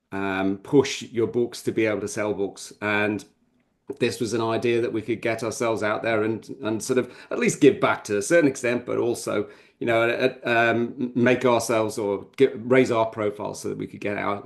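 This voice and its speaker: Low-pitched man, frank and rambling. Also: little pitch movement, normal speed, vocal fry. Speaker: podcast co-host and author. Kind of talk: casual interview talk.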